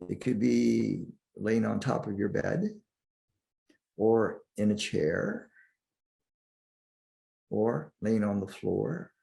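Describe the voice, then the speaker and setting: A man guiding a sleep meditation in a soothing, deliberate manner, leaving long pauses between short phrases. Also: fairly low pitch, creaky, darkish tone. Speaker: meditation guide.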